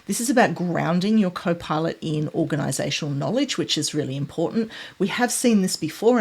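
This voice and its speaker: Husky, low-voiced woman explaining tech. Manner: enthusiastic, informal, chatty. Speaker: tech presenter recapping announcements. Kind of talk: casual explainer commentary with demos.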